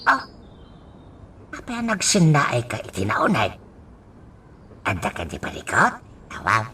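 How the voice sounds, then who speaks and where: A man's voice: mid-range voice, wildly swooping pitch, measured pace, bright, forceful tone, slight background noise, full character voice. An actor with a Filipino accent playing a kindly, teasing older father in a radio drama. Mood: gentle concern.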